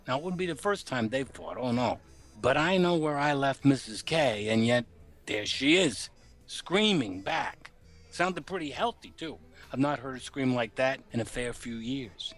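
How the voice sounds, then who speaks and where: An actor playing an uneasy working-class chauffeur in a noir radio play, confiding in colloquial, hesitant speech. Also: male voice, mid-range voice, animated, a little slow, a bit bright, soft background music. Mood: bewildered.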